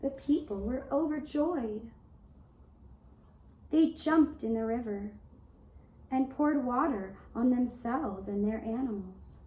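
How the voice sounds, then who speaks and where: A woman giving gentle scripted narration of a tale as a puppet show storyteller, soft and deliberate, with long pauses. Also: fairly high pitch, roomy sound, poor audio.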